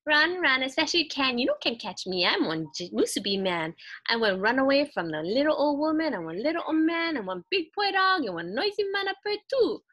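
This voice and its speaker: Storybook role: cocky little rice-ball man acted by a woman, high, sing-song, teasing. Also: sing-song, a little fast, fairly bright tone, muffled recording. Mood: gleeful taunting. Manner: sing-song taunting in Hawaiian Pidgin phrasing. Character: cheeky little rice-ball man, taunting runaway, boastful.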